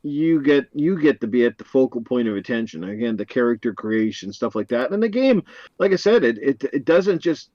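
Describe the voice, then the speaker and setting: A man's voice: medium pitch, sing-song, a little fast, darkish tone, dry recording, lo-fi sound. A man appearing as a guest on a gaming podcast, enthusiastic and rambling with many fillers. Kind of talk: casual gaming podcast chat.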